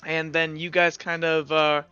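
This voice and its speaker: A man acting as game master, narrating and improvising in a casual, halting, conversational way. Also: on the high side, level tone, pushed and bright, clean tone, highs cut off, faint music behind.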